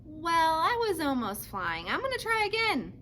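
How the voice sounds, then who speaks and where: A woman voicing an eager, wide-eyed young gosling in a squeaky voice for a children's storybook. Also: huge pitch swings, moderate pace, pushed and bright, a little hiss or hum, full character voice. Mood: excited. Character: excitable little boy gosling learning to fly.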